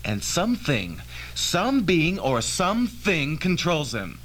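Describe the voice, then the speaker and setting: Radio drama physicist, acted by a man: brash, impatient, insistent, somewhat high male voice, old-fashioned emphatic delivery. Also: huge pitch swings, slow pace, fairly bright tone, exaggerated voice. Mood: urgent, pleading.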